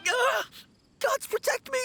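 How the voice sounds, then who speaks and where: A man's voice: very high voice, measured pace, gravelly, bright, forceful tone, exaggerated voice. A man voicing a timid young apprentice undertaker in a video game, polite and formal but nervous. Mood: terrified. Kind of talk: English video game voice acting.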